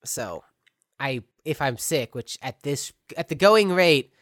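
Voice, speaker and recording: A man's voice: a little high, expressive, slow pace, a bit bright, no echo, studio quality. Man with a cold, rambling host. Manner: rambling, self-correcting, joking. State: coming down with a cold, stuffed up. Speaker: podcast co-host. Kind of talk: casual podcast chat.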